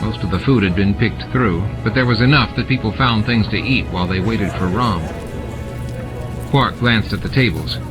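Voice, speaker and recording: A man with a lowish voice narrates a novel as an audiobook. His delivery is scripted storytelling, and music with sound effects runs clearly underneath. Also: normal speed, rough recording. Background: music and sound effects added to the reading.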